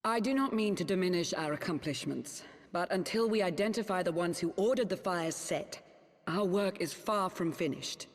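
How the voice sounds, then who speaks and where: An actress playing a dutiful female knight and second-in-command in a game, formal, crisp and earnest in her delivery. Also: fairly low pitch, moderate pace, close to an everyday voice. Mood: earnest, concerned. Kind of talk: dramatic game cutscene acting. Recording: long hall reverb.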